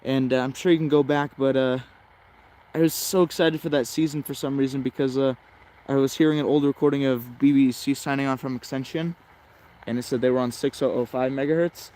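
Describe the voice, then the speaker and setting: A man's voice: mid-range voice, steady tone, fairly quick, darkish tone. A man giving casual, off-the-cuff commentary as a radio hobbyist, hesitant and rambling, often correcting himself. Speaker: shortwave radio hobbyist narrating a tuning session.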